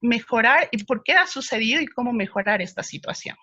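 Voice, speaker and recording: A woman's voice: a little high, expressive, a little fast, bright, forceful tone, no echo, dull audio. A woman speech therapist answering viewer questions, explaining patiently with frequent hesitations.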